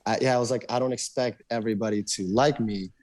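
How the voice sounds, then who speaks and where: A man's voice: mid-range voice, steady tone, a little mellow, dry recording. A young man from Argentina, a native Spanish speaker, talking casually as a podcast guest with lots of restarts and fillers. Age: young adult. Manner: fast, rambling, many restarts and fillers. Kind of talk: casual interview chat.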